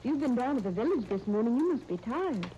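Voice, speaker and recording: Gentle young wife, patient and reassuring, higher mellow voice, 1930s radio drama, dull lo-fi sound. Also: female voice, sing-song, fairly quick. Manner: soothing, reassuring. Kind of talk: 1930s radio serial acting. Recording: dull, lo-fi 1930s radio disc, faint noise.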